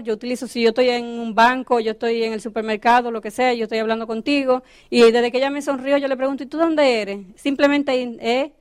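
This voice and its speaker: A woman's voice: on the high side, darkish tone, clean tone, no room sound, studio quality. A woman giving a motivational business training talk, often checking in with her audience. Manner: coaching, asks the audience questions.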